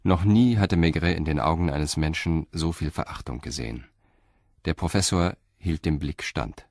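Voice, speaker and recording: An actor narrating a German crime radio play as a grave, composed storyteller. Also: male voice, very deep voice, moderate pace, a little mellow, dry recording, clean studio sound. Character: grave, composed male storyteller of a detective tale.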